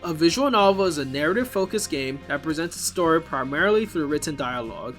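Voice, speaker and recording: Animated, higher-pitched man narrating a video essay. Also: fast talker. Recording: music behind the voice.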